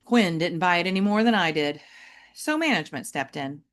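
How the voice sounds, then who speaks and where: A woman reading a children's novel aloud like an audiobook, with deliberate, emphatic and varied phrasing. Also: lowish voice, lively intonation. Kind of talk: expressive audiobook-style storytelling for children.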